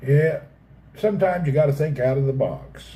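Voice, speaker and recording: A man's voice: on the high side, normal speed, mellow. A middle-aged man with a Southern American accent, chatting casually with viewers on a live stream in a folksy, joking way. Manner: folksy, joking, reads chat aloud, swears casually. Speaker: livestream host.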